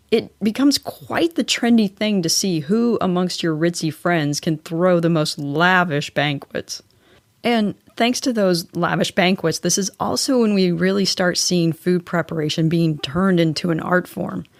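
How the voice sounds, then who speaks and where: American woman, podcast host, slightly low voice, chatty narration, wry asides, very dry close recording. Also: no room sound. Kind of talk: chatty scripted solo narration.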